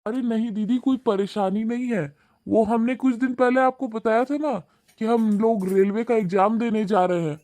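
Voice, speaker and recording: A man's voice: high voice, normal speed, few pauses, dark tone, no room sound, natural voice. A man voicing a humble, hardworking young male newspaper seller in a cartoon, talking politely and deferentially. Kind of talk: cartoon voice acting.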